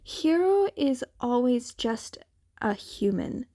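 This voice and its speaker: A woman reading story narration aloud in a halting, deliberate way. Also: a little high, big pitch swings, warm tone, no room sound, studio quality. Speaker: podfic narrator reading fan fiction.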